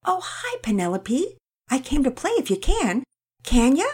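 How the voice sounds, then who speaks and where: A woman's voice: sits high, huge pitch swings, moderate pace, a little mellow, breathy edge, pitched above the performer's own voice, heavily acted. A woman voicing a friendly, polite young girl in an audio story. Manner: polite, eager.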